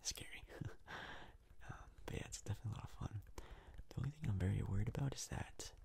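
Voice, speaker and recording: Whispering, gravelly man doing ASMR commentary. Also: lowish voice, fast, a little mellow. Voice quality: breathy, husky whisper. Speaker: gaming video host. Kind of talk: soft-spoken whispered ASMR game commentary.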